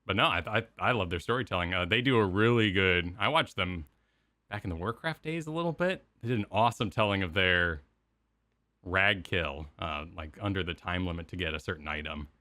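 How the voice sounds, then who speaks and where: A man co-hosting a gaming reaction stream, giving casual, animated, bantering commentary. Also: low voice, fairly quick, no echo, studio quality.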